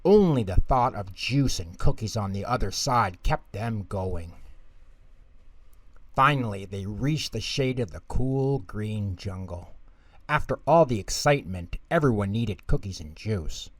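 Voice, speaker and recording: Male bedtime story narrator, somewhat low voice, unhurried pace, expressive delivery. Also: no echo. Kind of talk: scripted children's storybook narration.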